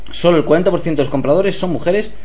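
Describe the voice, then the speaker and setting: A man, co-hosting a radio show, reading news items quickly and breaking in with joking, sarcastic asides. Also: on the high side, dark tone. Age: 30s.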